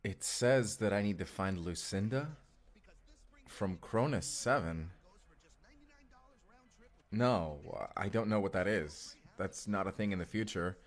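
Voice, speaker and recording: A man's voice: deep voice, slow pace, pauses often. An actor playing an earnest, anxious young man stranded from the future, talking in a hesitant, rambling, conversational way in an audio roleplay. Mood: intrigued, uneasy. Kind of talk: first-person audio roleplay acting. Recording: music behind the voice.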